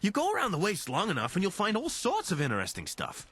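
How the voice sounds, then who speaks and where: Video game companion: a wry, friendly scavenger, bright and animated, casual and talkative. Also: male voice, high-pitched, fast, exaggerated voice. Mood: wry, upbeat. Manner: chatty, dryly humorous, casual.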